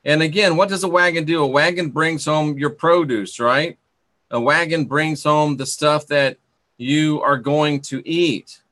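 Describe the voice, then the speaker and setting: A man's voice: on the high side, steady tone, unhurried, a bit bright. A man hosting an informal live talk, musing aloud to viewers in a rambling, opinionated way and repeating points for emphasis. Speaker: host of an esoteric talk livestream.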